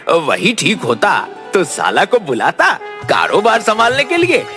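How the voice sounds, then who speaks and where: A man's voice: high-pitched, huge pitch swings, unhurried, bright, forceful tone, heavily acted. A man voicing a greedy, gullible older merchant in a cartoon story, blustering and suspicious. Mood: gullible, worried. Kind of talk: animated Hindi moral-story cartoon acting. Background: faint music.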